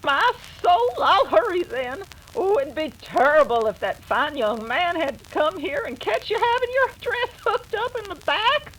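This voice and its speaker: A woman's voice: very high voice, very animated, a little slow, few pauses, a bit bright, full character voice, low-quality recording. An actress playing a fussy, fretful frontier mother in an old-time radio western. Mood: flustered.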